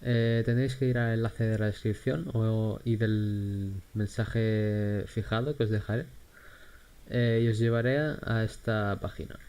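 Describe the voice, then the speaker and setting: A man with an accent from Spain giving a casual, unscripted software tutorial in a hesitant, halting way. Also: lowish voice, fairly even intonation, clear, resonant tone. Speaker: tutorial host.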